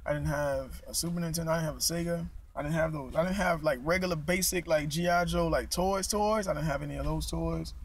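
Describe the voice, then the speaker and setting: A young American man vlogging casually, halting and restarting his sentences and clearing his throat, his mouth still numb from dental work, talking outdoors into a handheld camera. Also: fairly high pitch, fairly even intonation. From United States. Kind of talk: casual rambling vlog. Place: outdoors in a park.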